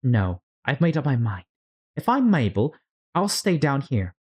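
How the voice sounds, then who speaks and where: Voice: medium pitch, big pitch swings, unhurried, a little mellow, slightly rough, voice pushed up. A storyteller voicing a dramatic, bewildered young girl who frets and scolds herself in a storybook. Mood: gloomy, stubborn. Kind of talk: storybook character read-aloud.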